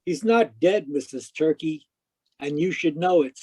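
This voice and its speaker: An actor playing a hard-boiled private detective in old-time radio style, wry, clipped and matter-of-fact. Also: male voice, a little high, expressive, unhurried, warm tone, slightly rough. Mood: firm, dry. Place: home, live video call performance.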